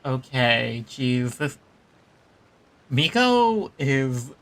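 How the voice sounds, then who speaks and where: A man giving casual live commentary as a game streamer, reacting with drawn-out interjections. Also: medium pitch, very animated, fairly bright tone. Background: game music.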